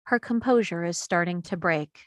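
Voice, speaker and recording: Woman narrator, lowish voice, scripted stage directions, screenplay table read, very dry sound. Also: normal speed, no room sound.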